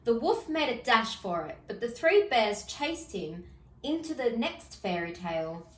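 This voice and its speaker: Slow-paced woman reading a children's story. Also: a little high, sing-song, fairly bright tone, roomy sound, recorded from a distance, low-quality recording. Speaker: storybook reader. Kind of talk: expressive children's story read-aloud.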